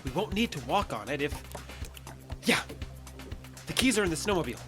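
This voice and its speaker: A man's voice: a little high, big pitch swings, a little fast, over music. A man playing a stubborn, evasive wounded fugitive in an audio drama, speaking in a defensive way. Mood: insistent.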